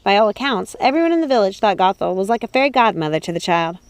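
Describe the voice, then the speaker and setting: A woman's voice: mid-range voice, big pitch swings, fast, dry recording. A woman reading scripted storybook narration as an audiobook narrator.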